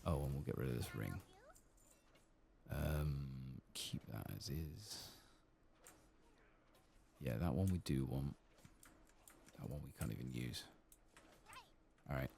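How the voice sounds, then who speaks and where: Soft spoken asmr gamer guy, really low voice, lots of vocal fry, murmuring to himself close to the mic. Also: slow, long pauses, slight background noise. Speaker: gameplay streamer.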